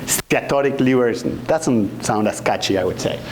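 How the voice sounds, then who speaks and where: A man's voice: mid-range voice, normal speed, crowd noise. A man in his forties with an Argentinian accent, a doctor giving an informal patient education lecture into a handheld mic, conversational and often checking in with his audience. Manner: relaxed, conversational, checks in with "okay?" and "right?". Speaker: doctor giving a health talk. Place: conference room, indoors. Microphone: handheld microphone.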